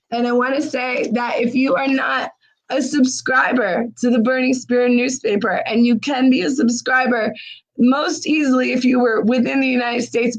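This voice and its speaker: A woman's voice: fairly high pitch, rough recording. A woman hosting a livestream fundraiser, losing her voice, urging viewers with urgent, exhorting talk. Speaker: livestream host.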